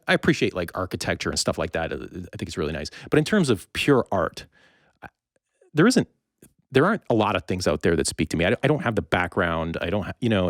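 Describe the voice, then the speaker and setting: A man with a fairly low, lively voice hosts a casual podcast. He rambles reflectively and candidly about his own views. The recording is dry and close. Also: no room sound. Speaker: podcast host, interviewer.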